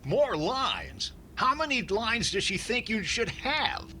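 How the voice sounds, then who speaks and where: A man's voice: high-pitched, slow, heavily acted. A man as a fussy, noisy-recorded radio comedian. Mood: exasperated, incredulous. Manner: deadpan, put-upon, defensive. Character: fussy, penny-pinching, exasperated male radio comedy star. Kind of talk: classic radio sitcom acting, deadpan timing.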